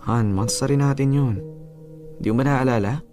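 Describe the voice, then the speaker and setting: A man's voice: medium pitch, normal speed, natural voice. A man playing a jealous, possessive boyfriend in a radio drama, hurt and insistent as he questions and pleads. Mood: coaxing. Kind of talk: Filipino radio drama acting. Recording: music bed under the voice.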